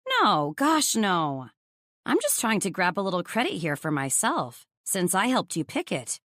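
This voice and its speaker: A woman voicing an excitable, gushing gossipy best friend. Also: medium pitch, unhurried, no room sound, studio quality, full character voice. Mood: excited, teasing. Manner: gushing, exclamatory, teasing. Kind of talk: audiobook character dialogue.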